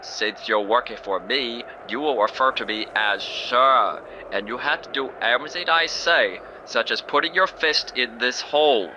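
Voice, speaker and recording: A man's voice: mid-range voice, normal speed, few pauses, background noise, close to an everyday voice. A man playing a cheerful, oddly oblivious male biologist. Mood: chipper.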